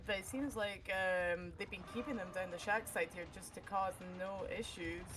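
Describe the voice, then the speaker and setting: A woman commentating a live esports match with quick, excitable play-by-play, her voice getting worn. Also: mid-range voice, over music. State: losing her voice. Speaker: esports caster. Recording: noisy stream audio.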